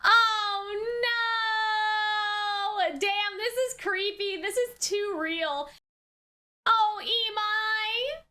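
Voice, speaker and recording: Female reaction host, very high and bright voice, unhurried overall, slangy and exclamatory. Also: a little hiss or hum. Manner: animated, exclamatory, slangy, thinks aloud. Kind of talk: casual excited reaction commentary on an anime episode.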